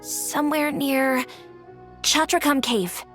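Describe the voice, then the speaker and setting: A woman's voice: high-pitched, takes it slowly, a bit bright, soft background music. A woman playing a hesitant, helpful young bystander in a video game.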